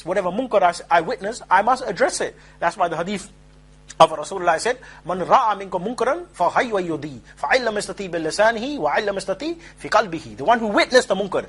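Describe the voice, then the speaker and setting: A man giving an informal street-style lecture as a preacher, rapid and emphatic with mocking asides. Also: high voice, a bit bright, husky.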